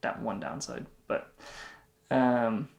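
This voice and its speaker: A young man with a gravelly, creaky voice co-hosts a travel vlog. He chats casually and loosely, filling gaps with "um" and "like". His pitch sits in an ordinary middle range. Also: slow pace, darkish tone, muffled recording. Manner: rambling with many fillers. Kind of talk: casual chat.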